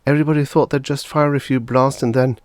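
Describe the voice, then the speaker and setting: A man's voice: medium pitch, steady tone, fast talker, a little mellow, no echo, studio quality, voice pushed up, close to an everyday voice. A male audiobook reader voicing an anxious, fluttery mother in a space colony. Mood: mild protest. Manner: fluttery, mild. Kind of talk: audiobook character voice.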